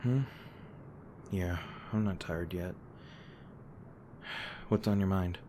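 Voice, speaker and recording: Man playing a shy, deep-voiced monster hunter. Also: fairly even intonation, slow, dark tone, slight background noise. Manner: hesitant, bashful, stumbling over words. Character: awkward protective young male monster hunter. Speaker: roleplay voice actor. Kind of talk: audio roleplay addressing the listener.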